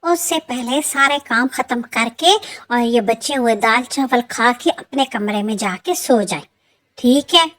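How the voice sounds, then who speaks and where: A cold, bossy stepmother voiced by a woman for a cartoon story. Her voice is pitched high as she hands out orders in a commanding way. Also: animated, measured pace, a bit bright.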